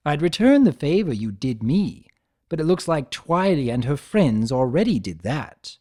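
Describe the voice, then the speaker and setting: A man's voice: on the high side, sing-song, moderate pace, mellow. A man voicing a mischievous, flippant chimera trickster in a theatrical, teasing way for a fan fiction reading.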